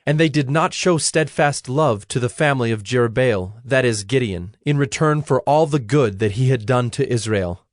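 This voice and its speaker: A man narrating scripture from a script in a measured, solemn manner. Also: mid-range voice, dry recording.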